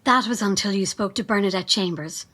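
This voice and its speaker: A woman's voice: medium pitch, brisk, fairly bright tone, natural voice. A woman playing a cold, officious gatekeeper in an audio drama, formal, curt and dismissive in her delivery. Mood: coldly dismissive. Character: icy, officious female gatekeeper of a secretive compound. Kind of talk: naturalistic audio drama acting.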